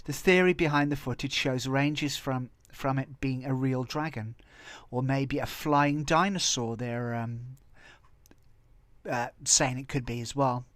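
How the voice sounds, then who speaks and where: A man's voice: medium pitch, steady tone, measured pace, fairly bright tone, dry recording. Chatty Welsh man with a close, dry sound. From Wales. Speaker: video host commenting on viral clips and tabloid stories. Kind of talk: casual off-the-cuff commentary.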